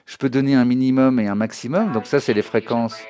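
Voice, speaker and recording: A man giving an informal spoken software tutorial in a didactic way, often checking that the listener follows. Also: mid-range voice, steady tone, brisk. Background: synthesizer and music demo sounds.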